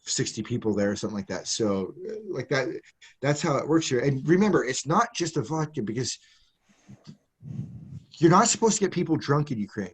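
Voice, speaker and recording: A man from Canada tells stories in a relaxed podcast chat. His voice sits in the middle range, and he is animated and quick to laugh as he talks. He often restarts his sentences. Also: mid-range voice, breathy edge. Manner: animated storyteller, laughs mid-story, frequent restarts. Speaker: guest journalist. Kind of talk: casual storytelling chat.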